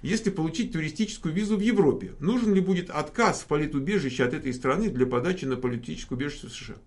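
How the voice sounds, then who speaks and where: A man's voice: fairly high pitch, very fast. A man hosting a casual live question-and-answer stream, joking and rambling with many fillers. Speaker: host.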